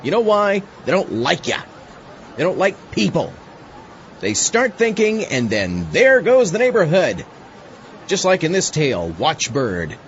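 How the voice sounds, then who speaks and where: An actor playing a wry, exasperated sidekick who hosts a comic horror podcast in a conversational manner. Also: male voice, a little high, huge pitch swings, measured pace, dull audio, a little hiss or hum, exaggerated voice. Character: wry, exasperated male sidekick and show host. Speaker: host. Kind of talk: comic podcast hosting in character.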